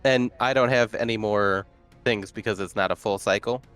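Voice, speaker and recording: A man's voice: lowish voice, steady tone, normal speed, a bit bright, clean tone, faint music behind. A man playing a tabletop game, chatting casually with flippant, crude wisecracks.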